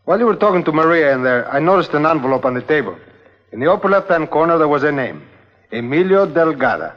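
Polite police lieutenant, formal n careful, highish voice, muffled old recording. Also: male voice, sing-song, quick pace. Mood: measured, grave. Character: courteous, measured foreign police lieutenant, a man. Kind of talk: old-time radio drama acting. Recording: dull lo-fi vintage drama soundtrack.

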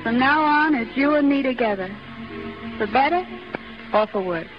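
A woman's voice: high-pitched, animated, normal speed, over music. A woman acting the devoted, forgiving sweetheart of a comic in a 1930s radio drama. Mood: earnest, tender. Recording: muffled 1930s radio broadcast recording.

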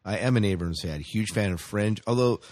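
A man's voice: lowish voice, fairly quick, darkish tone, dry recording. A man hosting a podcast in casual, bantering chat about films and TV, often asking questions. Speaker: podcast host.

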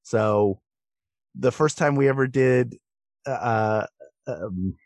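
A man's voice: medium pitch, takes it slowly, a little mellow, dry recording. A man, a voice actor, telling anecdotes in a casual podcast interview.

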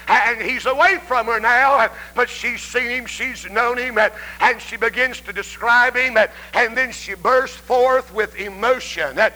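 Male revival preacher, Southern accent, very high forceful voice, fervent and emphatic delivery with rhythmic "uh" breaks. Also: low-quality recording. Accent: Southern American. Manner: emphatic, swinging between shouted cries and hushed pleading; punctuates phrases with a rhythmic "uh". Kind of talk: fervent old-time revival sermon.

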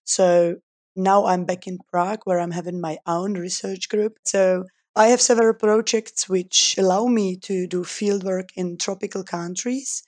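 A woman from the Republic, a research scientist giving thoughtful interview answers with frequent pauses. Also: mid-range voice, a little mellow, no room sound, studio quality. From Czech Republic. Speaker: guest scientist.

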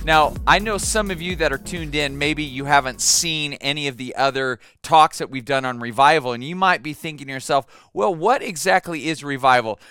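Bright, crisp-voiced man preaching. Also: on the high side, moderate pace, hardly any pauses, no echo, faint music behind. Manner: conversational, with fillers and repeated words. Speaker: pastor. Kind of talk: sermon with personal storytelling.

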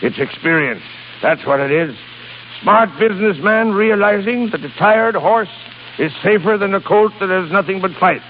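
An actor playing a crafty, bossy but soft-hearted old sea-captain businessman with salty bluster, in 1930s radio style. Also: sits high, expressive, normal speed, long reverb tail. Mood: gruff, reflective. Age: 60s. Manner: barking, salty nautical bluster with chuckles. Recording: dull, hissy 1930s radio recording.

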